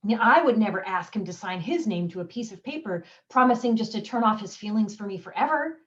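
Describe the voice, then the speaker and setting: A woman acting out a stage monologue as a desperate wife clinging to her husband, with deadpan wit and nervous laughs. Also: medium pitch, fast, lo-fi sound, lightly acted. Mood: pleading. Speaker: community theater actress.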